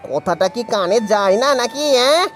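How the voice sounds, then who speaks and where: A man's voice: high voice, expressive, moderate pace. A man voicing a stingy village fish seller in a cartoon fairy tale, brusque and irritable. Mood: irritated refusal. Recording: music under the voice.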